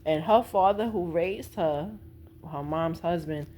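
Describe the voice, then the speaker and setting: A woman's voice: fairly low pitch, measured pace, warm tone, slight background noise. An American woman talking casually and informally, retelling a story with her own opinions and slang. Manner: conversational and informal, with slang and acted-out quotes. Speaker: vlogger reviewing a stage play. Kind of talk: casual chat, recounting a plot with opinions.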